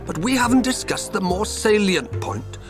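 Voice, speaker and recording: An actor playing a witty, bold middle-aged Welsh politician in an audio drama, speaking in a wry, persuasive way. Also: male voice, high-pitched, sing-song, normal speed, music in the background.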